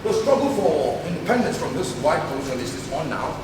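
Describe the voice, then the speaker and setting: An actor with a Nigerian accent playing a fiery, idealistic young student activist on stage, declaiming like an orator. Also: male voice, a little high, sing-song, brisk. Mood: earnest, grave. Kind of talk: projected stage play acting. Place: large theatre hall, recorded from far off. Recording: echoey hall stage play, distant mic, noisy.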